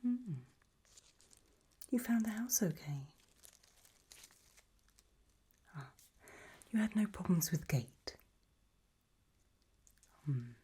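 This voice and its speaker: A woman playing an elegant, doting gothic lady hostess in a whispered ASMR roleplay, with a soft breath and gracious, languid manner. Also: fairly low pitch, a little hiss or hum. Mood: gracious welcome.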